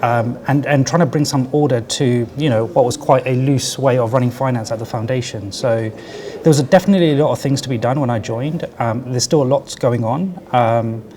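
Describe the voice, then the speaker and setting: A man with a quiet voice giving a conference talk as a finance chief, conversational and peppered with "right?" asides. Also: mid-range voice, normal speed, slight background noise. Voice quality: soft, quiet voice. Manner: conversational, tag questions like "right?" and "yeah?". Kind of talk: conference presentation. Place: conference venue with audience.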